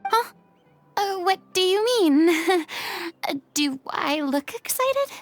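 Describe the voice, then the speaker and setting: An actress playing a proud, theatrical young woman who was once a deity, in an expressive video game performance. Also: very high-pitched, slow pace, no room sound, faint music behind, exaggerated voice. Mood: flustered.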